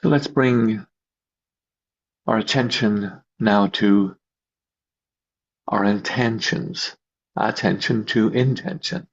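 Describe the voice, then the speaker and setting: A man leading a guided meditation as host, giving slow, calm instructions in measured short phrases with long pauses, recorded over a video call. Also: on the low side, vocal fry. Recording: dull lo-fi video call audio.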